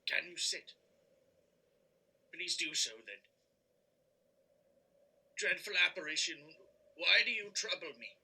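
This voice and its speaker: A bitter old male miser acted by a man in a radio play, with a husky, cracked voice that swings widely in pitch. He sounds querulous and nervy, with a sharp, forceful edge. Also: lively intonation, slow pace, pauses often, heavily acted, poor audio. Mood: fearful. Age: older. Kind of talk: audio drama acting.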